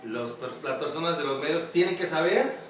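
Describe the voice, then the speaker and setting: A man's voice: on the high side, normal speed. Male, casual promo line, distant and roomy, light noise. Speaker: guest recording a promo. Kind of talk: short recorded testimonial. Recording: very echoey room, far from mic.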